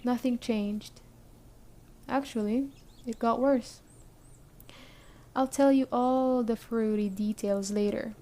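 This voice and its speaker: A woman playing a stern but caring survivor camp leader in an ASMR roleplay, speaking briskly and matter-of-factly straight to the listener. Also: fairly high pitch, fairly even intonation, mellow. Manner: brisk, matter-of-fact, addressing the listener directly.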